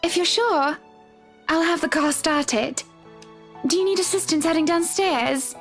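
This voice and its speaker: An actress playing a kindly, genteel married lady in a horror game, gentle and full of concern. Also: very high voice, a little slow, faint music behind. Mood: reassuring. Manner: gentle, solicitous.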